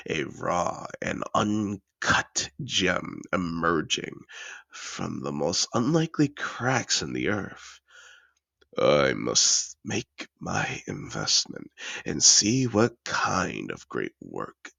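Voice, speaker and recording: Fantasy audio drama: male actor as seductive dragon patron, courtly and possessive, unhurried, close dry sound, deep gravelly murmur. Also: very animated, bright, forceful tone, no echo, heavily acted. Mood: grand, courtly. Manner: velvety, deliberate, courtly. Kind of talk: intimate fantasy audio roleplay, slow dramatic delivery.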